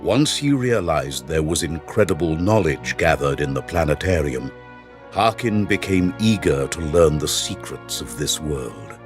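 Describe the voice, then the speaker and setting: A deep-voiced, grave mentor figure from a fantasy game. He speaks with portentous weight, like a guide and storyteller, with music playing prominently underneath. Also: animated, a little slow. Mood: solemn storytelling. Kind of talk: dramatic fantasy game dialogue and narration.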